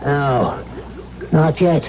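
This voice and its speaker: An old alchemist in a seventies radio play, solemn and fearful. His delivery is slow and halting, on a dull broadcast recording with faint noise. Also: on the high side, lively intonation. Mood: guarded, reluctant. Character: grave, fearful old alchemist, protective father-in-law. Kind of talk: 1970s radio drama acting.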